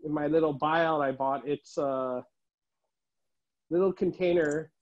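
A middle-aged man giving a casual show-and-tell tour as a collector, listing items haltingly, recorded indoors on a handheld phone. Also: fairly high pitch. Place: room at home, indoors. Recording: dull, muffled phone audio.

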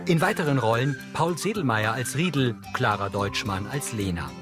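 A man's voice: mid-range voice, animated, normal speed, over loud music. A man reading scripted audio description narration for a TV drama.

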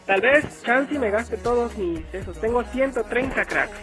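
A young man, giving casual, slangy gameplay commentary as a youtuber, excitable and repeating himself. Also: very high voice, normal speed. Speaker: gaming youtuber. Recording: game music underneath. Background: game music.

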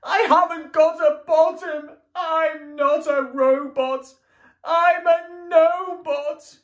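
A woman voicing an anxious little male robot in a storybook, high and wailing. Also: fairly even intonation, slow, reverberant. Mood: distressed.

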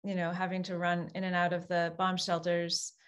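Casting note: woman guest researcher, measured and thoughtful, hesitant delivery with frequent fillers. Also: fairly low pitch, monotone, smooth. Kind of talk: webinar interview answers.

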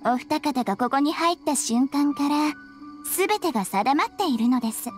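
Spooky polite shrine maiden, high lilting voice, game cutscene with faint music. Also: female voice, animated, normal speed. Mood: mysterious, welcoming. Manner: polite, mysterious, theatrically foreboding. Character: eerie, cryptic young shrine maiden, playfully ominous. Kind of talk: Japanese video game voice acting.

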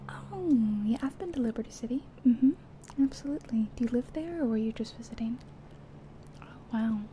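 A soft-spoken woman doing an ASMR roleplay as a friendly, chatty taxi driver, in a gentle, whispery delivery. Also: a little high, moderate pace. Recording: faint background noise of game car sounds. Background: car sounds from a driving game.

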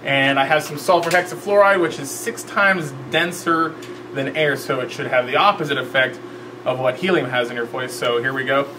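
A man's voice: medium pitch, moderate pace. A middle-aged man, a teacher, casually explaining a science demonstration in a school lab. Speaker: chemistry teacher. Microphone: camera a few feet away. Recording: noisy classroom, camera mic at distance.

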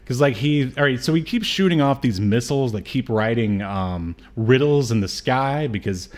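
A man's voice: medium pitch, expressive, moderate pace, a little mellow. A man co-hosting a casual movie chat, talking in a joking, slangy way. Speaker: podcast co-host. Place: indoor room, on a video call.

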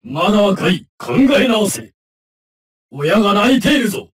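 A man's voice: sits high, sing-song, a little slow, recorded from a distance. A man voicing a stern, scornful teddy bear companion in an anime fighting game, with haughty, archaic, declamatory delivery.

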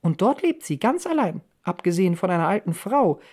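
A woman's voice: on the low side, very animated, a little fast, a little mellow, voice pushed up. A woman reading an audiobook in the role of an eager, chatty young woman full of village gossip. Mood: excited gossip. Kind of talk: audiobook character dialogue.

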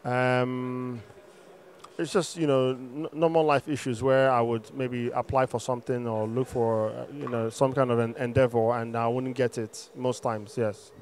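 A man's voice: medium pitch, flat delivery, unhurried, darkish tone, a little hiss or hum. A man in his thirties from Nigeria, gives testimony hesitantly, searching for words into a handheld microphone. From Enugu, Nigeria. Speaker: congregant giving testimony. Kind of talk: religious testimony, answering questions. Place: open arena. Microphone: handheld microphone held for him.

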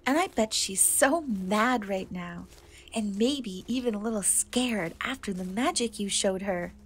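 A woman voicing a kind, timid half-cat young woman in a soft, hesitant fantasy ASMR roleplay. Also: mid-range voice, unhurried, slightly rough. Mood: sober, hushed. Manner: hesitant, soft-spoken, often slipping into whisper. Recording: noticeable background noise and ambience.

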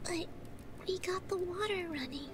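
A tiny excitable creature sidekick in a game. The voice is extremely high and squeaky, with audible game ambience behind it. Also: female voice, normal speed, background noise. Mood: bewildered. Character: small excitable female flying creature sidekick. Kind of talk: game cutscene dialogue.

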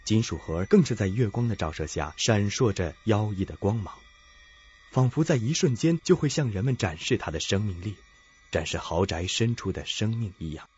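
A man's voice: on the low side, animated, normal speed, a little mellow, husky. A man narrating a scripted suspense radio drama in a dramatic, ominous storytelling manner. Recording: dull lo-fi recording with music bed.

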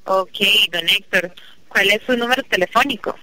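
Bright woman on phone support. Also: mid-range voice, normal speed. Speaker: tech support call agent. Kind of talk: polite customer service talk. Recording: distant telephone line.

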